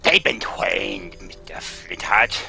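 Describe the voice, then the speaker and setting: Snappy, defensive circus trainer read by a man; wild range, gravelly. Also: deep voice, sing-song, slow pace, faint music behind, full character voice. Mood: curt. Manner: defensive, snappy, hushed then shouting. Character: defensive woman animal trainer, sharp-tongued. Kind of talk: live old-time radio table read.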